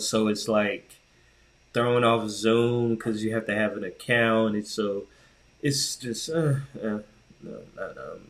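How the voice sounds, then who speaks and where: An American man co-hosting a podcast, talking casually about tech in an informal, slangy, opinionated way. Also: fairly low pitch. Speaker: podcast co-host.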